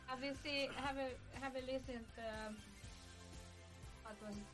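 A woman in a casual podcast conversation, speaking haltingly in short phrases. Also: sits high, fairly even intonation. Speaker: podcast co-host or guest. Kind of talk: casual chat. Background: music.

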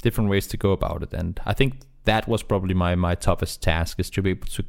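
Guy with a lowish voice, english isnt his first language, chatting in an interview, kinda rambly and animated. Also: fast, gravelly. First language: not English. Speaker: esports caster being interviewed. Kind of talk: casual interview conversation.

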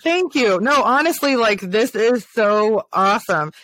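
A woman's voice: a little high, animated, unhurried, fairly bright tone, dry recording, low-quality recording. A woman hosting an interview podcast, enthusiastic and encouraging toward her guest. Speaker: podcast host.

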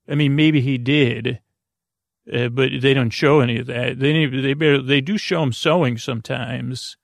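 A man hosting a bedtime podcast in a creaky, soothing voice, meandering haltingly through tangents and interrupting himself to lull listeners to sleep. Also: mid-range voice, no echo, clean studio sound. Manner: soothing, lulling. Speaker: podcast host. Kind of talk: rambling bedtime monologue to help listeners sleep.

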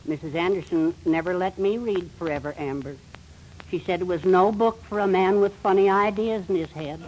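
A man's voice: high voice, moderate pace, natural voice. Meek middle-aged husband, acted by a man, hesitant, wistful, old radio sitcom, faint background noise. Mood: mild, hesitant. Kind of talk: 1940s radio comedy acting. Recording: faintly noisy 1940s radio recording.

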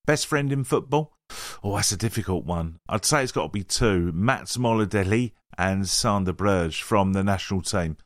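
A man's voice: fairly low pitch, moderate pace, no echo, clean studio sound. A man reading a player question-and-answer piece aloud, lifting each prompt and dropping into the answers. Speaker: reader.

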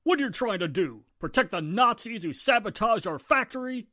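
An actress playing a blustering, indignant small-town mob spokesperson in a 1940s radio drama, with an accusing manner. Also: female voice, on the high side, animated, moderate pace, fairly bright tone, no room sound, studio quality.

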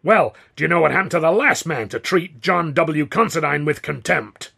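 A man performs a big, blustering cowboy-hatted theatre boss for an audiobook. The voice is gravelly and husky, pushed up above the reader's usual pitch. He speaks with bombastic scorn and a vindictive edge. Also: animated, normal speed, sharp tone, exaggerated voice. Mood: seething contempt.

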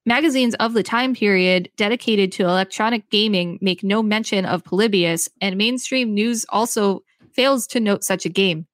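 A woman's voice: mid-range voice, moderate pace, few pauses, dry recording. A woman reading an encyclopedia article aloud as a podcast co-host, in scripted narration with brief casual remarks. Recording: faint music behind the voice. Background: faint music.

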